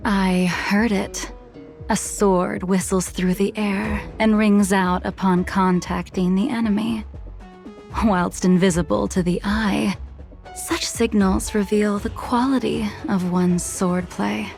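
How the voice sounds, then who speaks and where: Slow, creaky, coolly formal swordswoman. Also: medium pitch, steady tone, a little mellow, faint music behind. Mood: serene, appraising. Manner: measured, poetic, formal. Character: calm, enigmatic veteran swordswoman, coolly formal. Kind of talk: English video game voice acting.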